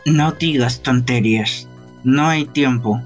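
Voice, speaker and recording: A man playing a grave, reluctant police detective in a halting, sombre game fan dub. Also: medium pitch, faint music behind, lightly acted. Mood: firm, resigned. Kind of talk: live fan dubbing of a visual novel game.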